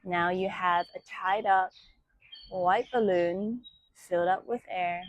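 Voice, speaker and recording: A woman teaching yoga, guiding meditation and breathing in a soothing, measured way with long pauses between short phrases. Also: on the low side, fairly even intonation, dull audio. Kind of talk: guided meditation and breathing instruction.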